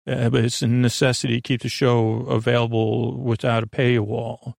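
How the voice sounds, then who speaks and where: Man, creaky gravelly voice, dry close sound, gentle rambling podcast host, hesitant, tangent-prone. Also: mid-range voice, little pitch movement, normal speed, no echo. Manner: meandering, hesitant, full of tangents and self-interruptions. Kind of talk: rambling, conversational bedtime podcast intro.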